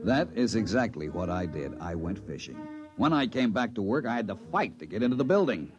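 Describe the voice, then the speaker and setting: An actor playing an earnest, principled psychologist who is easily exasperated, in an old-time radio drama. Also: male voice, on the low side, big pitch swings, fairly quick, highs cut off, music in the background. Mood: weary narration. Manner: earnest, indignant. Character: exasperated, principled male colony psychologist telling his story.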